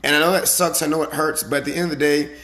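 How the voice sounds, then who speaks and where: A male fitness coach speaks casually to his live-stream followers in a somewhat high, raspy voice that sounds a little hoarse. He is emphatic and motivational, repeating his points and checking in with short rhetorical questions. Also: steady tone, quick pace, pushed and bright, poor audio. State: slightly hoarse from the previous day.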